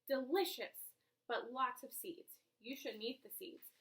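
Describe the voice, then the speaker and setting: A woman voicing an excitable, chatty schoolboy in a storybook, eager and emphatic, always rambling. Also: on the high side, unhurried, poor audio. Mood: eager, boastful.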